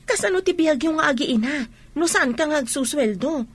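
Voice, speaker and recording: An actress playing a nosy friend who asks questions and passes on news in an Ilocano radio drama. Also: female voice, high-pitched, expressive, moderate pace. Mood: prying.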